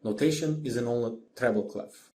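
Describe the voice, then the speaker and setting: A man giving a scripted music lesson as a lecturer, in a measured, didactic manner. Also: medium pitch, warm tone. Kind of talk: scripted instructional narration.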